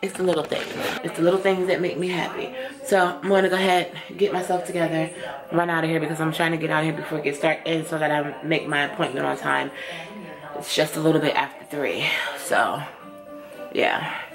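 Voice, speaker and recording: A woman's voice: low-pitched, steady tone, fairly quick, music in the background. A woman in her thirties chats casually and playfully in a home kitchen, vlogging into a nearby camera with colloquial phrasing. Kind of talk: casual vlog chat. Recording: clearly noisy home video audio.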